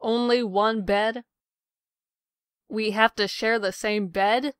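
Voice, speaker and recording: A woman's voice: on the high side, fairly even intonation, slow pace, clean tone, no echo, studio quality. A woman playing a brash, sarcastic, rebellious sister with a flippant, snide manner in a comic dub. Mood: puzzled, put out.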